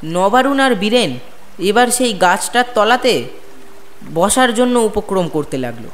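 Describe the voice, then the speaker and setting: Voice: mid-range voice, expressive, a little slow. A narrator reading a scripted ghost story with dramatic storytelling delivery.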